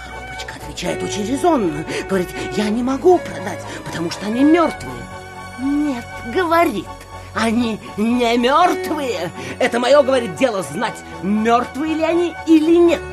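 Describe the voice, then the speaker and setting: An actress playing an excitable, breathless provincial society lady who gossips with gushing, theatrical alarm in an audio drama. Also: on the high side, normal speed, music in the background. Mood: excited, alarmed. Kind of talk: audio drama acting of classic literature.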